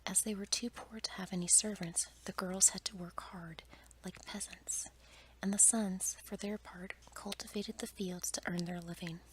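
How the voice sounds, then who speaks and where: A woman's voice: medium pitch, steady tone, normal speed, rough recording. Whispering woman reading a bedtime story. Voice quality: soft, breathy whisper. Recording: clear background noise, ambient sound.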